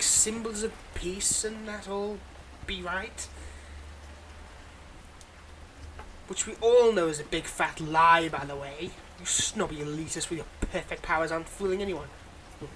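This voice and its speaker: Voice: medium pitch, lightly acted. A performer in an audio roleplay playing a theatrical, sarcastic supervillain who teases and grumbles with mock condescension, over a noisy background. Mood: indignant, chatty. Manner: mock-condescending, dramatic, chatty asides. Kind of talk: scripted audio roleplay monologue.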